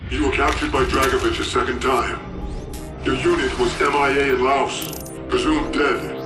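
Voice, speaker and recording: An actor playing a stern, demanding male interrogator in a video game, speaking with forceful, insistent command. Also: medium pitch, steady tone, moderate pace, roomy sound, distant mic, music in the background, natural voice. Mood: cold, pressing. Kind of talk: dramatic video game acting.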